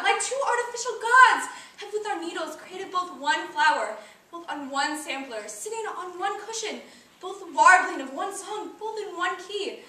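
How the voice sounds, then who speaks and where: A woman plays a young Shakespearean heroine, indignant and wounded, on stage. Her voice is very high and bright as she declaims formal verse with emphasis. It is heard from some distance in an echoey room. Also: heavily acted. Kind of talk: classical stage monologue. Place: indoor performance space, recorded from afar.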